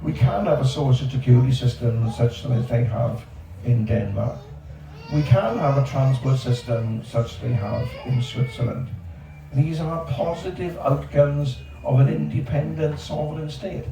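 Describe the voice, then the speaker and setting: A man's voice: medium pitch, measured pace, gravelly, dark tone. An older man on a discussion panel, speaking emphatically and repeating words for stress. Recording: echoey outdoor space from far off, background noise.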